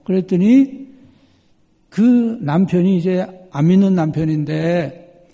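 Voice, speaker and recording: A man preaching a sermon as a pastor, measured and emphatic, repeating key phrases for weight. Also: sits high, vocal fry, warm tone. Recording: long hall reverb.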